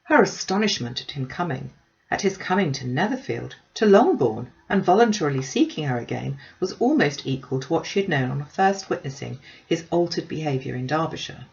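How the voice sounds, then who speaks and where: Low-voiced woman reading a classic novel. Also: very animated, a little fast, gravelly, dull audio. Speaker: audiobook reader. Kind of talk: scripted narration of a classic novel.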